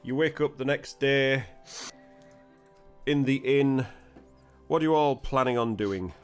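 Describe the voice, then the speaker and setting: A man runs a tabletop game with relaxed, chatty narration and a mid-pitched voice. Faint music plays in the background. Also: unhurried. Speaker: game master.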